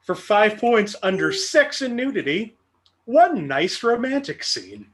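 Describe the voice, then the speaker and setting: A man's voice: sits high, very animated, a little slow, a bit bright. A man with a Midwestern accent hosting a trivia game, reading clues with playful, dry humor. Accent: Midwestern American. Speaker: game show host.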